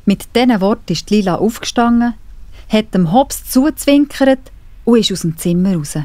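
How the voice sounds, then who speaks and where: A woman's voice: on the high side, dark tone, no room sound. A woman with a Swiss German accent narrating a scripted story for children.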